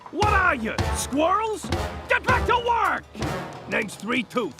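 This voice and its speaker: A man voicing a bossy beaver foreman in a cartoon, with a gravelly voice and a brusque, streetwise, slangy manner. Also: very high voice, huge pitch swings, slow pace, loud music behind the voice, exaggerated voice. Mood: irritated, barking. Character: gruff, bossy three-toothed beaver foreman. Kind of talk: cartoon voice acting.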